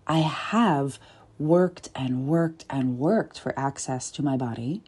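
Woman, low and mellow voice, soft and deliberate, reflective coach delivering a spoken essay. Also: husky. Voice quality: deep and soft. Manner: soft, deliberate, with pauses and whispered asides. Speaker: body-work coach.

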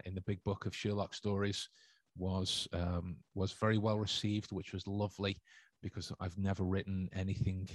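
A man with a low voice hosts a solo podcast stream, reading chat messages and answering at length. He circles back often, restarting sentences and filling gaps with ums. The recording is close and dry, with no room sound. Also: a little mellow, dry recording. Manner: rambling, many restarts and fillers. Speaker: podcast host.

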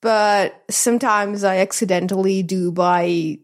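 A woman's voice: mid-range voice, steady tone, a little slow. A woman co-hosting a podcast, chatting casually in a wry manner. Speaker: podcast co-host. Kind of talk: casual chat.

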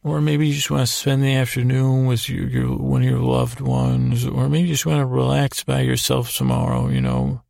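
A man hosting a sleep podcast with a meandering, halting bedtime monologue, repeating words and trailing off in a creaky, gravelly voice. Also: mid-range voice, level tone, a little mellow, no echo, studio quality. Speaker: podcast host. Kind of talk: rambling bedtime monologue to help listeners sleep.

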